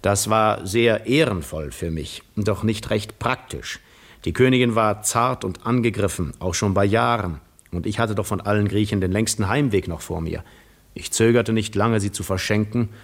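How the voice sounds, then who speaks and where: An actor playing a weary, reflective Greek war hero in a 1950s radio drama, telling his own story in measured, literary, ironic phrases. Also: lowish voice.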